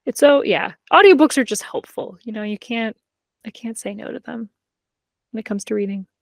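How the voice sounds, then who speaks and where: A woman's voice: on the high side, normal speed, creaky, dry recording, studio quality. A woman co-hosting a podcast in casual, informal chat, her sentences peppered with "like". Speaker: podcast co-host. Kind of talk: casual chat about books and TV.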